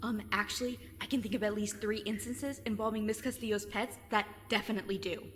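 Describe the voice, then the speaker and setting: A woman's voice: medium pitch, steady tone, brisk, long reverb tail, close to an everyday voice. A woman playing a sarcastic, exasperated teenage girl, dry and sceptical, in an audio drama. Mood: alarmed, reluctant. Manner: dry, sardonic, nagging.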